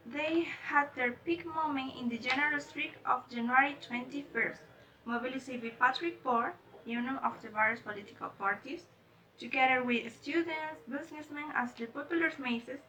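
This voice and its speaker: High, bright-voiced woman presenting from afar. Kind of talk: scripted presentation read aloud. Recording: distant microphone.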